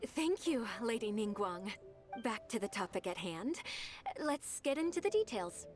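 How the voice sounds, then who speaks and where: A woman's voice: fairly high pitch, very animated, unhurried, music in the background. An actress voicing a formal, devoted female secretary in a video game, explaining things politely and precisely. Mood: proud, eager. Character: devoted, formal female secretary, earnest, eager admirer of her lady.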